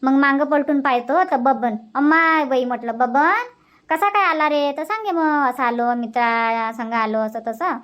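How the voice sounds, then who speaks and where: A woman's voice: high-pitched, highs cut off, lightly acted. A woman voicing chatty, sociable village women in a cartoon comedy, speaking colloquial Vidarbha Marathi with repeated phrases. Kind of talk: cartoon comedy dubbing in rural dialect.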